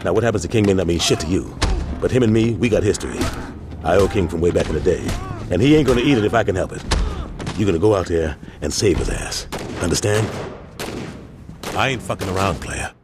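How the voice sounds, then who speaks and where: A seasoned gang leader giving orders in a video game cutscene. His voice is fairly low and his delivery is blunt and commanding, with a faint noise behind him. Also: lively intonation, a little fast, long pauses. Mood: urgent.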